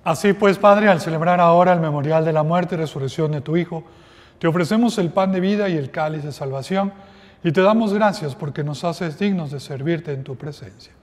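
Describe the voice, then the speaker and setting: A middle-aged man, a priest, reciting the prayers of Mass in a slow, solemn way. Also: a little high. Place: small chapel with altar. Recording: long reverb of a chapel.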